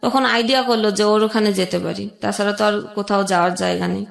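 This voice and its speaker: A young woman telling an emotional personal story as a guest, halting, with pauses and restarts. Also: mid-range voice, fairly even intonation, gravelly, roomy sound.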